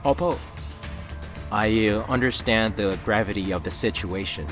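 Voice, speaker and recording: An actor playing a young male CEO disguised as a janitor, who talks in a joking, lively way, in a radio drama. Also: on the low side, measured pace, over music, lightly acted.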